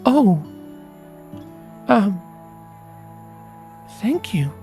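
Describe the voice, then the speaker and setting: Female storybook pony played by a man, raised pitch, soft and breathy, playful, affectionate, music behind. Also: sing-song, very slow, full character voice. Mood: flustered, touched. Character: confident teasing female pony, tender and affectionate.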